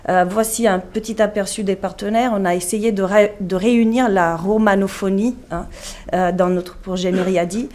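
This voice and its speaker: Woman lecturer presenting, medium pitch. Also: steady tone, normal speed, darkish tone. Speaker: university lecturer presenting at a conference. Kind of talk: conference presentation, semi-scripted explanation.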